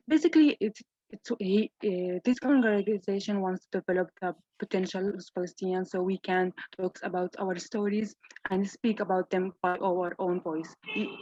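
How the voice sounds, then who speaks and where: Young woman from Gaza, halting speech. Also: fairly low pitch, darkish tone, dry recording. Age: young adult. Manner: halting, frequent restarts. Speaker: student panelist. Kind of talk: online event talk. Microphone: video call. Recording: dull, dry video call audio.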